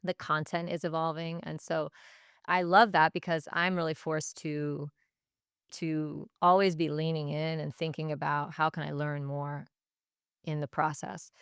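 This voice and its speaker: Low-pitched, creaky-voiced woman reflecting. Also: level tone, unhurried, a bit bright, no echo, studio quality. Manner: thoughtful, stop-and-start phrasing with repetition. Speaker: teacher and language department head, podcast guest. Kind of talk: reflective interview answers.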